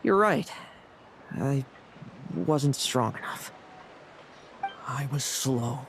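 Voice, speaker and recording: An actor playing a sardonic, self-doubting young knight in a video game, with a theatrical, teasing delivery. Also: male voice, mid-range voice, lively intonation, very slow, background noise. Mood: rueful. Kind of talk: dramatic game voice acting.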